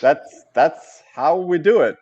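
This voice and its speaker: A man hosting a casual panel over a video call, with a halting, hesitant delivery. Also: a little high, animated, darkish tone, highs cut off. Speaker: host.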